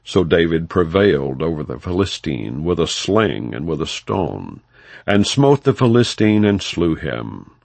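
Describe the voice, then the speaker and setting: A man narrating scripture as an audiobook, reading in a solemn, measured and emphatic way. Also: low-pitched, very animated, vocal fry, darkish tone, no echo.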